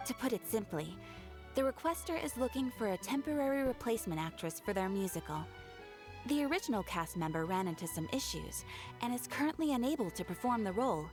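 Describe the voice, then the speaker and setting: A woman's voice: fairly high pitch, natural voice. A woman playing a polite, composed young guild receptionist in a video game, with a courteous, articulate, professional delivery. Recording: game music behind the voice.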